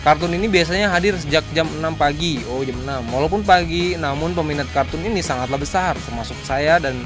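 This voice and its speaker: A man's voice: fairly high pitch, fairly quick. A young man, hosting a vlog in casual, nostalgic chat. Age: young adult. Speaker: YouTube vlog host. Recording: background music behind the voice.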